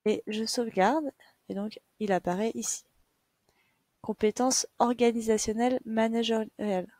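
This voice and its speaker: A woman's voice: fairly high pitch, fairly even intonation, normal speed, dark tone, no room sound. A young woman giving a patient, step-by-step screen tutorial as a teacher, with many fillers. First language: French. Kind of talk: screen-share tutorial lesson.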